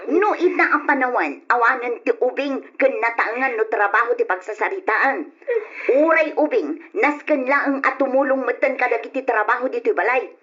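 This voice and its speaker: An actress plays an exasperated mother in an old radio drama. Her voice is high and her manner harsh and scolding. The sound is dull and lo-fi. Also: normal speed, a bit bright, natural voice. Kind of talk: old-style Ilocano radio drama acting.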